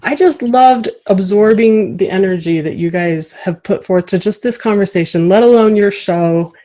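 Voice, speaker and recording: A woman's voice: mid-range voice, moderate pace, mellow. A woman hosting a podcast in an effusive, encouraging, chatty way. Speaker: podcast host. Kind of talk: warm, appreciative interview chat and sign-off.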